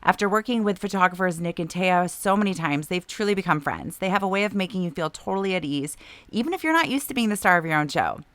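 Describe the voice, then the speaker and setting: A woman, hosting a podcast. She is hoarse from a long illness, and her deep, raspy voice has a lot of vocal fry. She chats easily and laughs often. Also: lively intonation, fast, fairly bright tone, no echo. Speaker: podcast host. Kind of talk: casual chat and read ads.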